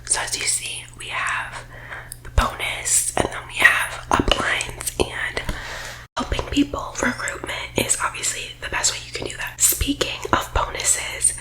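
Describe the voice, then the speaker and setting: A woman whispering breathily in a persuasive ASMR roleplay, like a team leader giving a sales pitch, close to the microphone. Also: a little slow, low-quality recording.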